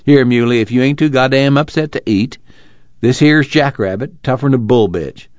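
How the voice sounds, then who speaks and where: A man voicing a blunt, coarse, plainspoken farm fellow in rough rustic dialect for an audiobook novel. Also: medium pitch, moderate pace, darkish tone, no room sound, muffled recording, voice pushed up, close to an everyday voice. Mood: gruff, teasing.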